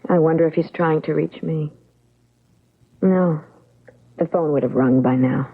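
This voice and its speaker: Wounded young fiancée, dull lo-fi sound. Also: female voice, sits low, a little slow, husky, heavily acted. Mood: frustrated. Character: hurt, proud, wary young fiancée. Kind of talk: old-time radio drama acting. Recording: dull, lo-fi radio drama recording.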